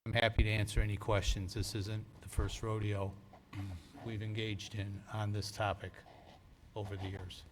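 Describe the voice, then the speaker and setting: An American man speaking as a town attorney, giving a measured, formal, lawyerly explanation at a council meeting. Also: fairly low pitch, flat delivery, slight background noise.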